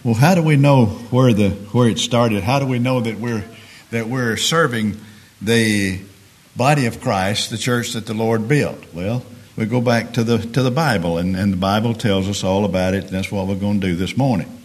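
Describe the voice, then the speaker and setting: A man preaches in a hoarse, raspy voice. He talks plainly, middle-aged, leaning on everyday examples and often restarting his sentences. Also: on the low side, moderate pace. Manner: plain-spoken, homespun examples, frequent restarts. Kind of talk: sermon.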